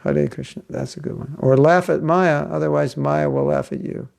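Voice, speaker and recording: A man's voice: on the high side, fairly even intonation, normal speed, vocal fry, darkish tone. A man giving a casual, joking class as a spiritual teacher, chatty and rambling. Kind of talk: casual online class talk.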